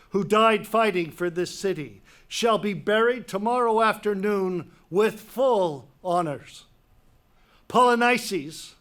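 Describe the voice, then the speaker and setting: A man's voice: high-pitched, fairly bright tone, exaggerated voice. An actor playing a proud, autocratic king in a dramatic reading of Greek tragedy, declaiming emphatically and imperiously. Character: Creon, proud autocratic king laying down harsh law.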